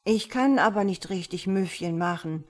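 A woman's voice: medium pitch, normal speed, natural voice. A woman voicing an innocent, curious little boy in a storybook, with a pleading, childlike way of asking. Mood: puzzled, disappointed. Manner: pleading, wide-eyed, childlike questioning. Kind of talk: storybook character voice.

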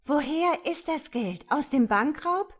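A woman voicing a nagging, foul-mouthed woman, sharp and demanding, in an audiobook. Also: high voice, expressive, measured pace, pitched above the performer's own voice. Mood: challenging. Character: shrill, nagging, foul-mouthed woman squabbling with her lover.

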